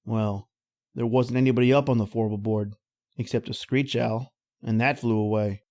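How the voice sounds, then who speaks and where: Radio horror drama role: male actor as folksy roughneck narrator, plain-spoken, easygoing, colloquial, mid-pitched. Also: fairly even intonation, moderate pace, a little mellow, lightly acted. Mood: storytelling.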